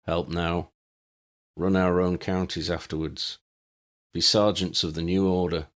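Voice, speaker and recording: Guy doing drugged half-asleep villain henchman, low monotone, clipped little answers, audiobook. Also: a little slow. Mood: dazed. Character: drugged, half-asleep male conspirator under truth serum.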